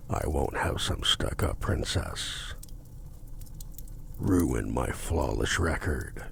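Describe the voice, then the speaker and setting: A man playing a seductive, possessive demon dealmaker in an intimate ASMR roleplay, speaking in a deep gravelly voice with a formal, domineering manner. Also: a little hiss or hum, heavily acted. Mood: menacing. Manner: slow, deliberate, formal, domineering. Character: seductive possessive male demon contract-broker, menacing, smug.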